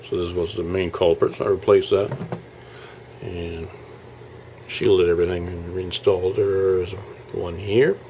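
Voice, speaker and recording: Male hobbyist presenter, low creaky voice, informal and halting delivery, faint background noise on a camera mic. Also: darkish tone, poor audio. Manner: halting, thinking aloud while showing parts. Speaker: hobbyist radio restorer presenting his project. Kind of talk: casual informal video walkthrough.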